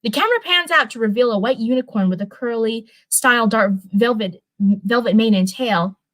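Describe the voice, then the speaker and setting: A woman's voice: a little high, moderate pace, a bit bright, poor audio. A young woman hosting a livestream, moving between reading a story aloud and rambling, informal chat in which she often corrects herself. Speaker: livestream host reading fan fiction.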